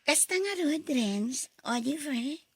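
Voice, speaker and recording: A woman acting a coy young office worker in a radio drama, with an expressive, sighing delivery. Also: high voice, slow pace, no echo, heavily acted. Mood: friendly, uneasy. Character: young female office worker, coy, torn over brother-in-law.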